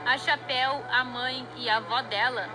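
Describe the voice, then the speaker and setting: High-pitched Brazilian woman recapping with wry asides. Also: steady tone, moderate pace, rough recording. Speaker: narrator of a horror story recap. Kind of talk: informal storytelling commentary. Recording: clear noise behind the voice.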